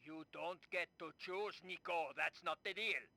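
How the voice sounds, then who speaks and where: A man playing a gruff, bossy newspaper boss in an adventure game. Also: fairly high pitch, a little slow, bright, forceful tone, no echo, heavily acted. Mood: impatient.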